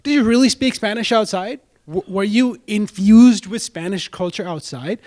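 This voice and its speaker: A man's voice: high-pitched, normal speed, a little mellow. A man from India, giving a casual talk to a club audience as a guest speaker and dropping in frequent "right?" asides.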